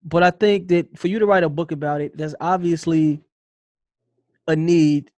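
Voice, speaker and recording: A man's voice: a little high, steady tone, normal speed, a little mellow, no room sound. A man hosting a podcast, asking questions in a loose, playful way with repeated words. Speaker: podcast host. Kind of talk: casual interview chat.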